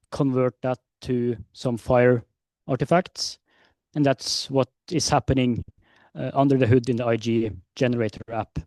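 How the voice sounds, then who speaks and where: A man presenting at a conference, answering questions in a measured, hesitant way. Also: medium pitch, dark tone, dry recording. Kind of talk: conference Q&A explanation. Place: conference session room.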